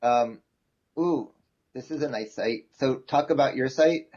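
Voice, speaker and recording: A man's voice: medium pitch, measured pace, darkish tone. A man instructing an online class informally over a video call, thinking aloud in a hesitant way. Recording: dull, lo-fi video call audio.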